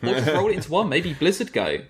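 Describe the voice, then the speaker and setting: A man's voice: fairly high pitch, very animated, fast. A man hosting a podcast in a casual chatty style, thinking aloud and putting questions to his co-hosts. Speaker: podcast host. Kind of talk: casual chat.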